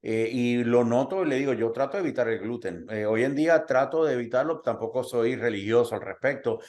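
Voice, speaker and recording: A chatty male host trades personal anecdotes in a relaxed conversational way, with plenty of fillers and a middle-pitched voice. He grew up between Cuba and Puerto Rico. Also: brisk. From Cuba, raised in Puerto Rico. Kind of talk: conversational interview.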